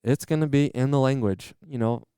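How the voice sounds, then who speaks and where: A man, a training-center manager and podcast guest, explaining earnestly and enthusiastically in a steady conversational way. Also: medium pitch, brisk, clear, resonant tone, dry recording, studio quality.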